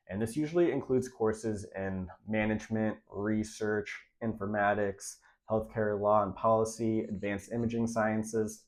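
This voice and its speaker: A young man with a stuffy, nasal voice from a recent cold gives direct, emphatic career advice in a casual vlog. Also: lowish voice. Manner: direct, emphatic, repeats key points. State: congested, nasal from a recent illness. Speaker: radiology tech creator giving career advice.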